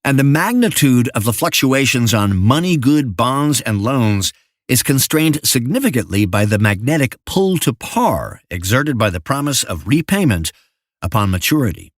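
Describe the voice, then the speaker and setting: A man's voice: medium pitch, sing-song, no room sound. Older man, dry studio-like narration. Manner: measured, formal. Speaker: investment memo narrator. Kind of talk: scripted narration of a financial memo.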